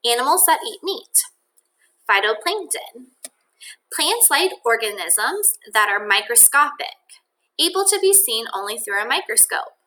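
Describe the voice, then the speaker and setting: A woman teacher reading a children's nonfiction book aloud in an expressive, deliberate way, with think-aloud asides addressed to children. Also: on the high side, rough recording.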